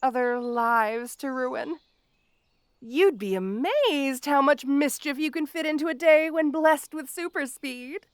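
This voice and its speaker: High-voiced, gloating female supervillain. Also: dry recording, clean studio sound. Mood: gleeful menace. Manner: taunting, mock-sweet, drawn-out teasing delivery. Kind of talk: theatrical villain monologue, audio roleplay.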